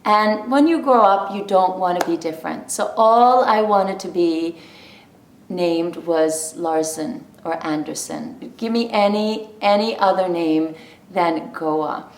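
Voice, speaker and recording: Unhurried Norwegian-raised woman vlogging. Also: lowish voice, reverberant, a little hiss or hum. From Norway. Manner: chatty, animated storytelling with laughs. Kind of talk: casual talk to camera.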